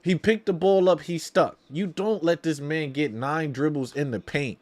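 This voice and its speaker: A man's voice: fairly high pitch, moderate pace, a little mellow. A man hosting a watch-along, calling basketball live as a partisan fan in slangy talk, often repeating phrases for emphasis.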